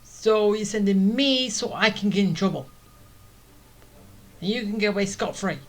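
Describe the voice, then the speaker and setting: A woman's voice: medium pitch, normal speed. A woman streaming a game with casual, teasing, sarcastic commentary. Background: video game music.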